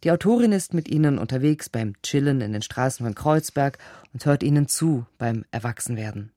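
Woman radio announcer reading a script, mellow tone, really dry studio sound. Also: a bass voice, expressive, fairly quick, dry recording.

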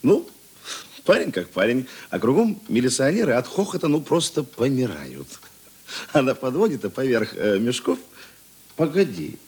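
An actor playing a seasoned police investigator in a radio drama, gruff but talkative, with a husky voice and halting, conversational delivery. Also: male voice, medium pitch, sing-song, darkish tone. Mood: eager storytelling. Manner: musing, halting, conversational asides. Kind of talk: Russian radio drama dialogue.

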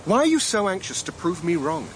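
Earnest, quick-talking academic man. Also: high-pitched, very animated, a little hiss or hum, low-quality recording. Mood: puzzled, earnest. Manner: precise, insistent, formal. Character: earnest, precise academic, indignant and principled. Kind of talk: radio drama acting.

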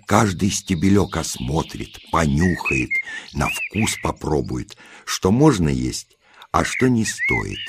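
A man narrating a children's nature tale as a storyteller, in expressive, measured short phrases with pauses. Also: extremely low pitch, creaky, noisy background. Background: music.

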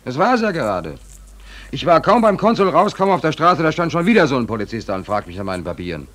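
A hapless, talkative drifter in a 1940s radio drama. His voice swings widely in pitch as he pleads and protests in naive, colloquial phrases, often faltering. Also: big pitch swings, quick pace, fairly bright tone. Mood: aggrieved storytelling. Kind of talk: 1940s German radio play acting.

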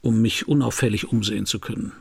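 A man's voice: fairly low pitch, steady tone, fast, creaky, a little mellow, slightly rough. An actor playing a wry, laconic private detective who narrates his case in a German radio crime drama. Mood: laconic, suspenseful.

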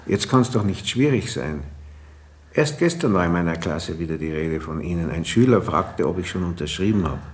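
Low, swooping, shifty schoolteacher. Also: male voice, lively intonation, moderate pace. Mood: uneasy, chatty. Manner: dithering, emphatic. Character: shifty, opportunistic schoolteacher, self-important. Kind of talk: German radio play acting.

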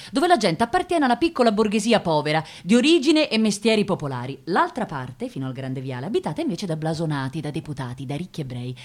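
Expressive investigative journalist, lively pitch. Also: female voice. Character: persistent male investigative journalist recounting his inquiry. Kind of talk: theatrical narration of a written memoir.

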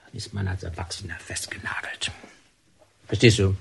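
A man's voice: low-pitched, fairly even intonation, moderate pace, vocal fry, in a whisper. An actor playing an avuncular, well-meaning male warder, chatty and musing, in a naturalistic radio drama. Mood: congratulating, musing. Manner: hesitant, musing, conversational.

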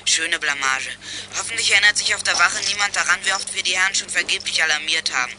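A man's voice: high voice, fast, bright, forceful tone, soft background music. An actor playing a sardonic boy detective making walkie-talkie calls in a German youth radio play. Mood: exasperated.

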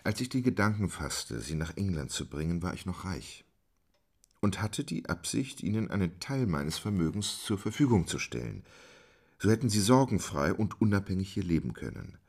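An actor playing a reserved, dignified English gentleman in a German audio drama, speaking formally and with restraint. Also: sits low, sing-song, normal speed, gravelly, slightly rough, full character voice. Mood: grave, restrained.

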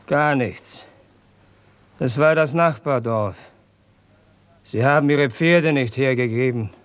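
Guy doing a bitter worn-down villager in an old radio drama, slow heavy terse talk. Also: medium pitch, smooth, close to an everyday voice. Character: bitter, downtrodden villager of war-torn Russia. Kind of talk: 1950s radio drama acting.

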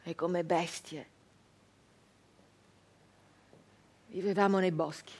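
An actress playing a haunted, defiant young peasant woman turned brigand in a radio monologue, with halting, repetitive, intense delivery. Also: lowish voice, steady tone, a little mellow, breathy edge. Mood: haunted, hushed.

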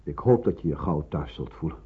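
An actor playing a kindly, grave Protestant pastor in a radio play, speaking in a measured, solemn, reassuring way. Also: male voice, very deep voice, expressive, breathy edge, full character voice. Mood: reassuring, fatherly. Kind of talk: Dutch radio drama acting. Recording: muffled, phone-like old radio recording.

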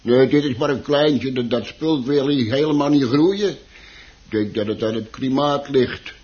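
An actor in a radio play playing a chatty, grumbling plant shop keeper who hesitates, stammers and repeats himself. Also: male voice, medium pitch, measured pace, darkish tone, natural voice. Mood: earnest. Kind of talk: Dutch radio play acting. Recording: dull, muffled old radio recording.

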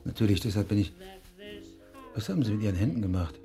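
Rural police detective, male, deep voice, wry and direct, radio drama. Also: lively intonation, fast talker, warm tone, soft background music. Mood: wry, flirting. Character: flirtatious rural police detective, brusque boss, narrator of the tale. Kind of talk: German radio drama acting.

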